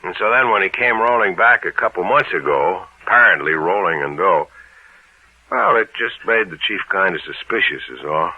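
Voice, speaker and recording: Deep folksy American police sergeant. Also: male voice, expressive, normal speed, breathy edge, no room sound, exaggerated voice. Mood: wry, suspicious. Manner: folksy, casual, matter-of-fact. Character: gruff, folksy, plain-spoken male police sergeant. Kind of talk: old-time radio detective drama acting. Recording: narrow, tinny old radio recording.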